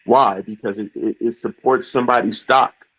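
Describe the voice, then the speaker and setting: A man's voice: mid-range voice, steady tone, moderate pace, a bit bright, breathy edge. A man joining a casual talk, speaking hesitantly with many fillers. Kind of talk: casual chat. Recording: slightly dull, muffled sound.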